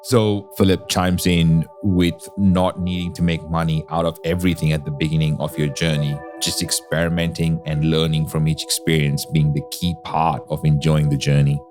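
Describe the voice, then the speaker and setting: A man's voice: very deep voice, flat delivery, moderate pace, hardly any pauses. A man hosting a podcast, narrating recaps between clips. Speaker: podcast host. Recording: music bed under voice.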